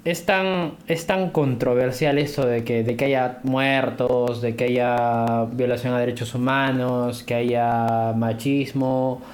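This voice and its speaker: A man with a Peruvian accent chatting casually on a podcast in an animated, rambling, slangy way. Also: mid-range voice, takes it slowly, few pauses. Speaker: podcast host.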